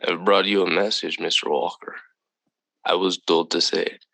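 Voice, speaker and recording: A calm, mysterious visitor from another planet in a radio drama. The voice is low and gravelly, recorded dry, with formal, measured courtesy. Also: male voice, dry recording, full character voice. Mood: courteous, calm. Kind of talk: old-time radio drama acting.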